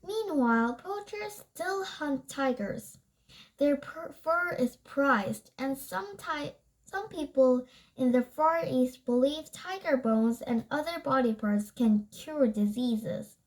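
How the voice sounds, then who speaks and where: A child reading a nonfiction book aloud, haltingly, stumbling over and rereading words. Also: sits high, animated, rough recording.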